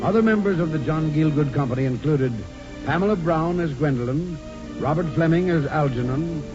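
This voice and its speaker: A man's voice: on the high side, fairly quick. A man announcing formally in 1940s radio style. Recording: muffled 1940s radio broadcast. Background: music.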